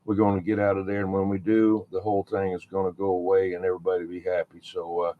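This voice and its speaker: A man's voice: sits low, monotone, quick pace. A man chatting casually as a CB radio operator, relaying calls in folksy radio lingo. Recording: tinny phone-like sound, clear background noise.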